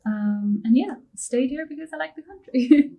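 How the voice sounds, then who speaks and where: Young woman from Pakistan, warm mellow tone, measured panelist. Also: sits high, slightly rough. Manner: measured, articulate. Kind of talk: panel discussion.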